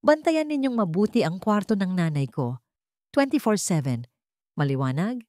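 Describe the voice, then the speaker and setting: A woman's voice: mid-range voice, sing-song, moderate pace, darkish tone, dry recording, close to an everyday voice. A woman acts out a wealthy grown son from a dramatic audio story. He is devoted and commanding, speaking emphatically in a dry, close recording. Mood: angry, commanding.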